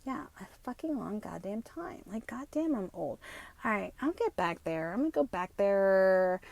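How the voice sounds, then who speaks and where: A woman in her fifties with a New Jersey accent at times, hosting an unscripted podcast monologue, talking fast, swearing freely and tagging her points with "right?". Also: mid-range voice, very animated, gravelly, a little mellow, dry recording, lo-fi sound. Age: 50s. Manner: fast-talking, profane and rambling; emphatic, with frequent tag questions. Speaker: podcast host.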